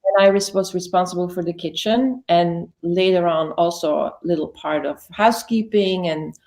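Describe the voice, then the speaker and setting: A woman's voice: fairly low pitch, moderate pace, a little mellow, low-quality recording. Chatty woman in her fifties. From Belgium. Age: 50s. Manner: chatty, self-correcting, many "like" fillers. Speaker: interview guest. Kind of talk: casual interview, life story.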